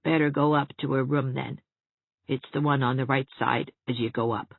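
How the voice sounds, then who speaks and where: Lady putting on a dim old country housekeeper woman, stolid n plodding, husky, thin phone-like audiobook sound. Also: extremely low pitch, fairly even intonation, normal speed, no echo, heavily acted. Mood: stolid, unbothered. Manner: stolid, plodding, folksy nonstandard grammar. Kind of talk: audiobook character voice.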